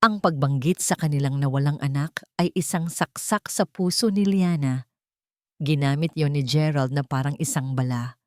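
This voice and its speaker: A woman narrates a romantic drama from a script. Her voice sits slightly low, and the recording is dry and close, with storytelling phrasing throughout. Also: moderate pace, a little mellow, no room sound.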